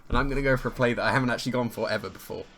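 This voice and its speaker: A man with a slightly hoarse, croaky voice commentates his own competitive match in casual play-by-play, thinking aloud, weighing his options and amused at his own plays. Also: mid-range voice, racing, a bit bright, soft background music.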